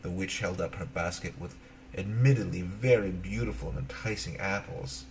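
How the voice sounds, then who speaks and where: Low-voiced man telling a fairy tale. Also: a little hiss or hum, rough recording. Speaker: storyteller. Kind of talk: children's fairy tale narration.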